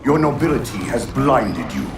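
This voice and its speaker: An actor voicing an ancient, vengeful alien warlord in a video game, grandiose and contemptuous, with solemn, menacing oratory. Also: male voice, mid-range voice, very animated, moderate pace, natural voice. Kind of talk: cinematic video game villain monologue. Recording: distant, echoing, under loud music.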